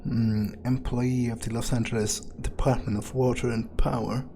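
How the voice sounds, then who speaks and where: A man narrating a video game playthrough in character, thinking aloud in a musing, halting way. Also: mid-range voice, little pitch movement, warm tone, slight background noise.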